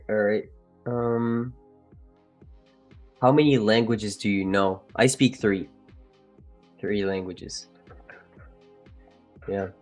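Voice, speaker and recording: A man with a French accent hosting a live trading stream, chatting casually and joking with viewers. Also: lowish voice. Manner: joking, laughs often, trails off and restarts. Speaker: livestream trading host.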